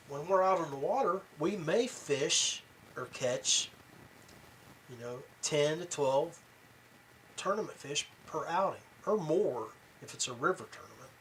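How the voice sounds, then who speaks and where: A man hosting a podcast, talking casually and haltingly to his viewers, rambling along with pauses and repeats. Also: a little high, a little hiss or hum, rough recording. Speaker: podcast host. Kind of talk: casual rambling talk to viewers about tournament fishing.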